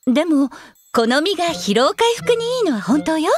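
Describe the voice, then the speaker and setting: Very high, playful anime young woman. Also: sing-song, normal speed. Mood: cheerful, explaining. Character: bubbly gluttonous young woman, teasing and eccentric. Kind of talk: Japanese anime audio drama acting.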